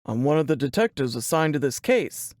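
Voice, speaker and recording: A woman voicing a terse, low-key male police detective with a gravelly voice in an audiobook. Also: very animated, fairly quick, darkish tone, dry recording, clean studio sound. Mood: restrained. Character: male police detective, an old high school sweetheart. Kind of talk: audiobook character dialogue.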